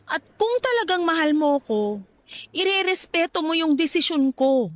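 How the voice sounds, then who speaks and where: A woman's voice: very high voice, expressive, normal speed. An actress playing an anxious, ambitious young woman pleading earnestly with her boyfriend in a radio drama. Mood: earnest, anguished. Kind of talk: Tagalog radio drama acting.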